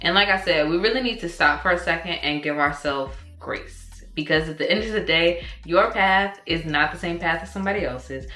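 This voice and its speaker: A young woman in her twenties gives frank big-sister advice, casual and animated. Her voice sits low but sounds bright and forceful. The room is echoey, with faint music underneath. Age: 20s. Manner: candid and animated, with slang and swearing. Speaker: vlogger giving advice.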